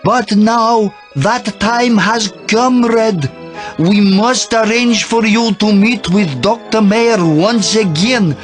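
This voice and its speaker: A solemn priest in a video game cutscene, with a notably high voice. He speaks slowly and gravely, like one revealing weighty secrets, over music. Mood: solemn, earnest. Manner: measured, grave storytelling. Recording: music behind the voice.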